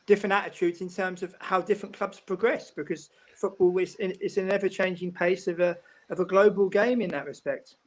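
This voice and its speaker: A man with a notably high-pitched voice talks football as a guest pundit. He explains his points in a measured way and often repeats a word while gathering his thoughts. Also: steady tone. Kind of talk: casual football discussion.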